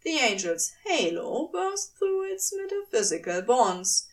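Woman reading a story, highish voice, really expressive, takes her time, bit far from the mic. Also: unhurried. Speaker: fiction reader. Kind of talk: dramatic scripted narration.

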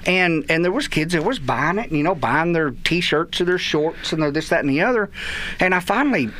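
A man with a Texan accent, a guest on a radio chat show, telling lively stories and acting out the quotes. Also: on the high side, normal speed. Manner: animated storyteller, acts out quotes. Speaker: guest, youth baseball parent. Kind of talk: casual radio chat, storytelling.

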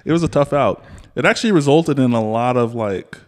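A man's voice: medium pitch, brisk. A man in his thirties, a podcast host, talking frankly and laughing in casual chat. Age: 30s.

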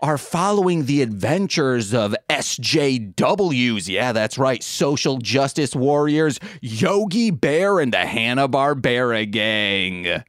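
A man's voice: medium pitch, big pitch swings, slow pace, few pauses, no echo, studio quality. A man hosting and producing a comedy podcast, joking and rambling through his plugs. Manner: goofy, rambling, jokey asides. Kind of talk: casual comedic podcast chat.

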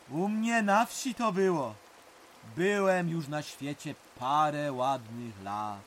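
An actor playing an older village uncle telling folksy, dramatic memories and ghost tales in an audio drama. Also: male voice, fairly high pitch, a bit bright, slight background noise, exaggerated voice. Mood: emphatic. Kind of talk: audio drama acting, reminiscing narration.

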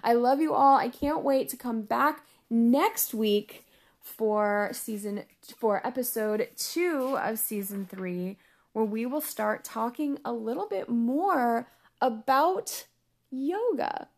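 A woman hosting a casual solo podcast, chatty and upbeat with frequent laughs, her voice going hoarse. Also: fairly high pitch, takes it slowly. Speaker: podcast host.

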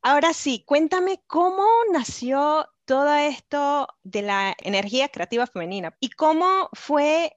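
A woman's voice: high-pitched, animated, unhurried, hardly any pauses, no echo, muffled recording. A woman hosting a casual podcast interview, introducing and thanking her guest in a gushing way. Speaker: podcast host.

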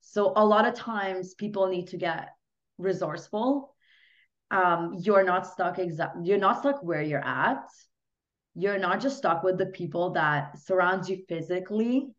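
A woman's voice: lowish voice, a little slow, dull audio. A woman from Hungary talking casually and earnestly as a guest in an interview, in an informal, discursive way. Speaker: podcast guest. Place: remote online podcast call.

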